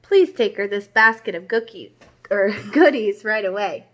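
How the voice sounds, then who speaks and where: A woman's voice: medium pitch, lively intonation, normal speed, lightly acted. A woman voicing a caring mother vehicle in a read-aloud storybook, fussing about a sick granny. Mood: concerned. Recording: muffled lo-fi recording.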